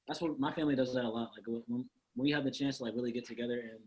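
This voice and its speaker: A man in his twenties hosting a casual group chat with friends on a video call, animated and quick to laugh. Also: medium pitch, poor audio. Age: 20s. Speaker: host.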